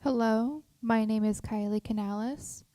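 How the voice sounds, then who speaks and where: A woman's voice: fairly high pitch, a little slow, dark tone. An eighteen-year-old woman, a student officer, giving a formal self-introduction. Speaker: high school senior, youth council officer.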